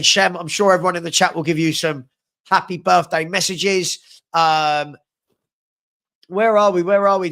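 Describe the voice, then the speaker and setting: A young man hosting a live fan chat stream, upbeat and chatty as he reads out viewers' comments and gives shout-outs, with a head cold that leaves him a bit sniffly. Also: a little high.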